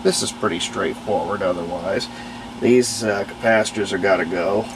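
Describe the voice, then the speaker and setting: A man's voice: on the low side, moderate pace, noisy background. A man giving a casual, unscripted hands-on repair demonstration, plainspoken and thinking aloud as he works. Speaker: host of a radio restoration video.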